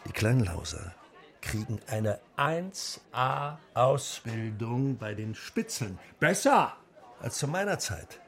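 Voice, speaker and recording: Eager, blustering buffoon, wildly animated. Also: male voice, medium pitch, takes it slowly, creaky, some chatter behind, exaggerated voice. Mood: proud, gleeful. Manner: blustering, chummy, chuckling eagerness. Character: jovial, eager, foolish male Party busybody and proud father. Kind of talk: radio drama acting.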